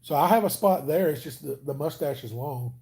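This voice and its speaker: A man's voice: fairly high pitch, a little fast, warm tone. A man hosting a casual live stream, chatting and answering viewers by name in an easygoing way. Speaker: channel host reading live chat.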